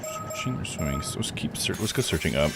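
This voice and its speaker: A man's voice: sits low, wildly swooping pitch, brisk, vocal fry. A man streaming a video game, giving casual, slangy live commentary and muttering to himself with mild swearing. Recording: loud game music behind the voice. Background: video game music.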